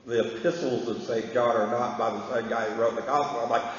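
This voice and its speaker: Casual questioner in a very echoey hall. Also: male voice, mid-range voice, level tone. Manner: casual, halting questions with fillers. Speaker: audience member asking questions. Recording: very echoey dull hall recording with faint noise.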